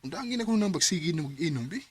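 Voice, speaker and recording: A man narrating and acting as the lead in a radio drama, earnest and gentle in manner. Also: fairly high pitch, lively intonation, rapid-fire, breathy edge. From Cebu, Philippines.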